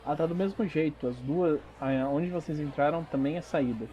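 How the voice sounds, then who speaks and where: A Brazilian man working as game master, narrating a tabletop RPG audio drama with dramatic pauses and informal asides. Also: fairly high pitch, mellow, lo-fi sound. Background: background music.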